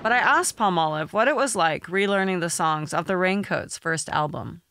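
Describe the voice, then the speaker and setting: A woman's voice: lowish voice, moderate pace, background noise. A woman hosting a podcast, reading her scripted narration in an upbeat, enthusiastic way. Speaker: podcast host. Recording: music bed under voice.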